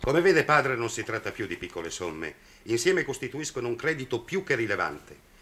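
An actor playing a stern middle-aged lawyer in a vintage television drama, speaking formally, insistently and articulately. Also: male voice, mid-range voice, big pitch swings, normal speed, fairly bright tone, slight background noise. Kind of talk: 1960s television drama acting. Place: indoors, office, off-screen microphone.

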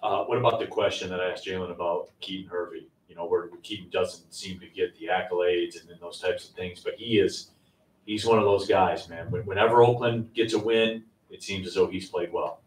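A reporter with a very low voice asks his question casually. He sounds far from the mic, in an echoey room. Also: fairly even intonation, a little fast, a little hiss or hum. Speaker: sports reporter. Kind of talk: press conference questions.

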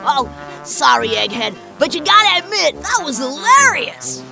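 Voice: high-pitched, sing-song, normal speed, creaky, exaggerated voice. An actor playing a vain, bossy cartoon pizza slice who leads a gang in a grandiose, theatrical manner. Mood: amused apology. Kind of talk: cartoon voice acting. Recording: music behind the voice.

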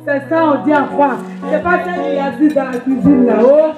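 A woman acting in an improvised church comedy sketch, calling out and scolding in a lively way. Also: high-pitched, brisk, dull audio. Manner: lively, scolding, calls out loudly. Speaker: amateur actress in church sketch. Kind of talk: improvised comic skit dialogue. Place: outdoor church gala stage at night. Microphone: handheld mic. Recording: echoey PA with music and crowd.

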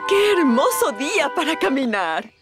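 An optimistic, caring nurse from a children's cartoon. She speaks high and slow, with wide swings of pitch and a Latin American Spanish accent. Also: sing-song, loud music behind the voice. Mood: breezy. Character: adult female nurse, breezy, caring, optimistic. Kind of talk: animated children's show dub.

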